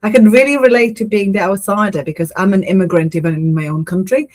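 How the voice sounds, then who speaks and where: A woman's voice: mid-range voice, fairly even intonation, quick pace, darkish tone. A woman with an Israeli accent who hosts a podcast, reflecting earnestly and supportively in conversation. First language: Hebrew. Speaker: podcast host. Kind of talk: interview conversation.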